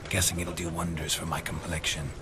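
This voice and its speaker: An actor playing a weary, sardonic middle-aged monster hunter in a video game, with a low gravelly voice and deadpan delivery. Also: male voice, brisk, background noise, lightly acted. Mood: dryly sardonic. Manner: deadpan, dry.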